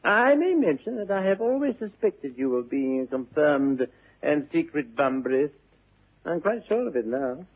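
A witty young English gentleman of leisure, teasing and mock-serious, with upper-class vowels. The voice is slightly high and husky, rising and falling theatrically. It comes through a muffled vintage radio recording. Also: very animated, moderate pace. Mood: accusing, amused. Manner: arch, mock-serious, precisely argumentative.

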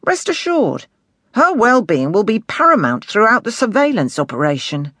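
A man performs a brash male detective inspector for an audiobook. The voice is raised and forceful, blunt and used to being obeyed, with a very dry, close sound. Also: sits high, huge pitch swings, moderate pace, no room sound, voice pushed up, full character voice. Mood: commanding. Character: brash, commanding male police detective inspector, cheeky charmer. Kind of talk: audiobook character dialogue.

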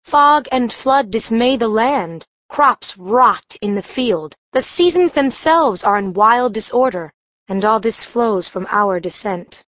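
A woman's voice: fairly high pitch, lively intonation, takes it slowly, no room sound, lo-fi sound. A woman playing a proud, defiant fairy queen, regal and declamatory in a Shakespearean audiobook. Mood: reproachful.

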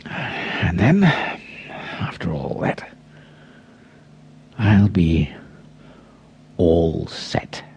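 A man narrating an audiobook in a dramatic first person, theatrically savouring his words with long pauses. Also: sits low, big pitch swings, highs cut off.

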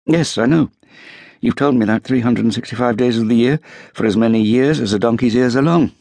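A man's voice: mid-range voice, brisk, creaky, warm tone, no echo, voice pushed up, lightly acted. A man voicing a tired, irritable composer who answers curtly and grudgingly. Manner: curt and grudging.

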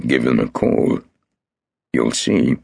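A ruthless, ailing old tycoon, as a male narrator voices him, talking slowly and coldly. His very deep voice is gravelly and husky, recorded dry and close. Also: very animated, a little mellow, dry recording, exaggerated voice.

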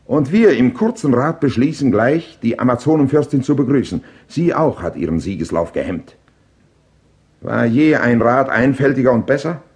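An actor playing a proud Greek king and war leader who recounts battle in classical verse drama, declaimed with deliberate, rhetorical phrasing. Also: mid-range voice, animated, darkish tone, close to an everyday voice. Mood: impassioned, rhetorical.

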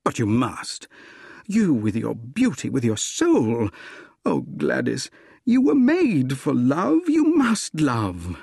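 A man's voice: mid-range voice, wildly swooping pitch, slow pace, gravelly, a little mellow, slightly rough, no echo, clean studio sound, exaggerated voice. A man playing an impulsive young suitor in a storybook romance, pleading earnestly and eagerly for love. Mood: ardent pleading.

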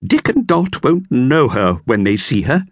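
Man reading a gentle, refined older lady, higher voice, storybook style. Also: big pitch swings, unhurried, breathy edge, dry recording. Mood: kindly. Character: kindly older lady painter Mrs Barrable. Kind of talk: audiobook character voice.

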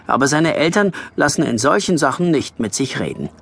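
A man narrating a scripted children's story with lively storytelling delivery. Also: on the high side, fairly quick, vocal fry, a little hiss or hum. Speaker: audiobook narrator.

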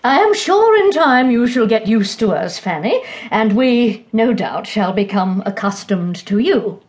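An actress playing an officious, scolding, snobbish middle-aged aunt in a period audio drama, emphatic and condescending. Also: female voice, on the high side, sing-song, unhurried, darkish tone. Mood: smugly condescending.

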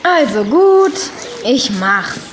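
A woman's voice: sits high, big pitch swings, slow pace, background noise, exaggerated voice. A woman playing a reluctant, unsure young schoolgirl in a children's audio drama, hesitant and put-upon. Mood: reluctant, indignant.

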